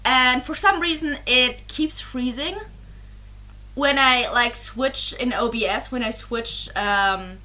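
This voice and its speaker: Young woman, slightly high, crisp bright voice; rambling, giggly webcam chat. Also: slow pace. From Germany. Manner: rambling and apologetic, many fillers and giggles. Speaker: reaction YouTuber. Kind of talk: casual chat to camera.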